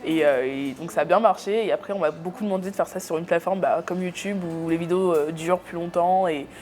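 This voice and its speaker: Hesitant young woman, low-pitched. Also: quick pace, darkish tone, faint music behind. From Cameroon. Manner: hesitant, many fillers. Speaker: guest, YouTuber. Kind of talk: casual interview.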